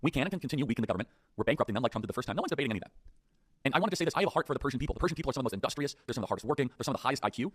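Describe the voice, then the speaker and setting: A man rattles off a political talk-show monologue at breakneck speed, pressing his points with emphatic rhetorical questions. His pitch is ordinary, and the sound is close and dry, with no room around it. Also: animated, racing, a bit bright, breathy edge, dry recording.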